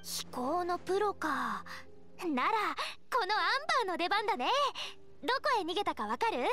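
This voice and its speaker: A very high, lively young woman's voice for an anime game's cheerful knight scout. She sounds eager and self-assured, with game music behind her. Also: moderate pace. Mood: confident.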